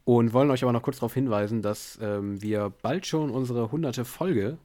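A man's voice: normal speed, warm tone. A man hosting a podcast, unwell with a headache, rambling casually with many fillers. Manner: rambling, many fillers, tired and low-key. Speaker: podcast host. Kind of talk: casual chat. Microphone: remote call via bot.

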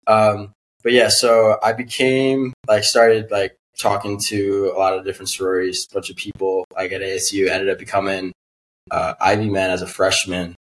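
A man's voice: low voice, little pitch movement, normal speed, low-quality recording. A man in his twenties from Staten Island, chatting casually as a guest, laid-back and rambling with lots of fillers. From Staten Island, New York. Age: 20s. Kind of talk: casual chat.

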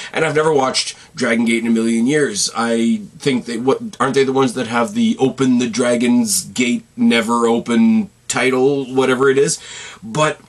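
Casting note: male host, wrestling commentary, slightly raspy, opinionated, swears, rambles. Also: medium pitch. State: losing his voice, a bit raspy. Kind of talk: casual commentary.

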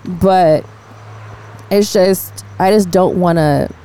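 A woman's voice: lowish voice, slow pace, warm tone. A woman in her twenties hosting a podcast, chatting casually and swearing freely as she retells stories. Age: 20s. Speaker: podcast host. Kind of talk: casual chat.

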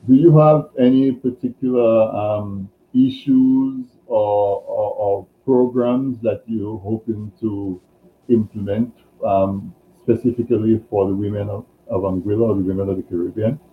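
A man interviewing a guest, asking a hesitant, halting question over a remote line. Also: lowish voice, steady tone. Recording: tinny phone-like line, faint noise.

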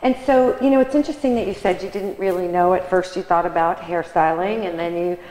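Casting: woman talk-show host, lowish voice, chatty with fillers, roomy kitchen set with long echo. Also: a little fast, darkish tone. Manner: chatty, restarts and many fillers. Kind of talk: casual studio interview chat.

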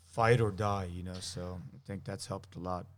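Male guest, Armenian-American, deep and even, measured explaining style. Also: no room sound. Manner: thoughtful, explanatory, with frequent fillers. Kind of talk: casual conversation.

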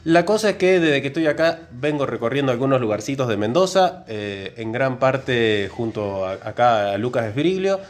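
A man's voice: mid-range voice, expressive, normal speed, hardly any pauses, faint music behind. A man from Córdoba with an Argentine accent, co-hosting a casual podcast conversation. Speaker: podcast co-host, biologist.

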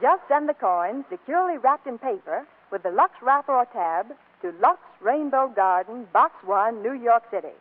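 Animated 1940s radio spokeswoman, muffled. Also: on the high side, moderate pace, hardly any pauses. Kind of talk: scripted 1940s sponsor dialogue. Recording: muffled vintage radio broadcast.

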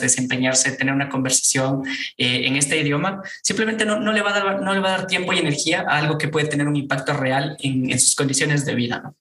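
Bright-voiced man hosting in an echoey room. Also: a little high. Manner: warm and effusive, many fillers. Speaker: podcast host. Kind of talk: casual interview hosting.

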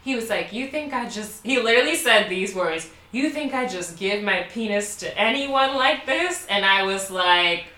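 Candid young woman, bright voice, echoey room. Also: mid-range voice, animated, normal speed, few pauses, distant mic. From Baltimore. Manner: candid, laughing, many "like" fillers. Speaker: podcast co-host. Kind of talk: casual storytelling chat.

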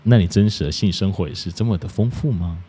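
A man's voice: low-pitched, fast talker, mellow, husky. A Taiwanese man hosting a podcast, chatting casually and asking probing, playful questions. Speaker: podcast host. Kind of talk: casual, teasing interview chat.

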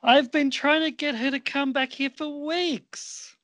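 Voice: high-pitched, fairly even intonation, measured pace, a bit bright, no room sound, voice pushed up. An amateur performer playing an exasperated young male college roommate in a comedy radio play.

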